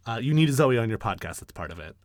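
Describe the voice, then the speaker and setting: A man's voice: very animated, quick pace, dry recording. Brash-voiced male podcast host, dry sound. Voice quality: brash, low voice. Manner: rambling, full of fillers and restarts. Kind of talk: casual podcast chat.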